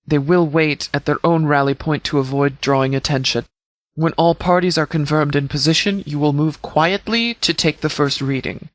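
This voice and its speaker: A man voicing a stern military commander in a video game, speaking formally and with measured authority as he briefs. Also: on the high side, steady tone, dry recording, lightly acted. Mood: commanding. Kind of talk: scripted game dialogue, mission briefing.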